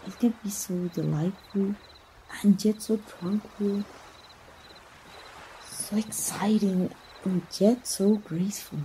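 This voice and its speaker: Woman acting as young princess, Mexican accent, leisurely, very soft and mellow, gently teasing, bashful, ASMR romance roleplay, faint outdoor nature noise behind. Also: mid-range voice, steady tone, takes it slowly, long pauses. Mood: serene, dreamy. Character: playful, tender, self-reliant young princess. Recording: noisy outdoor nature ambience.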